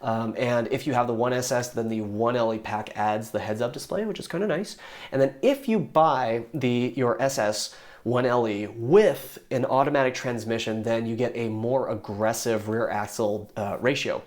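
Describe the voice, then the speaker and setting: Hoarse, chatty young man explaining. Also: medium pitch, moderate pace, darkish tone. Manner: enthusiastic, rambling with fillers and restarts. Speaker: YouTube car reviewer. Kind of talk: casual explainer talk.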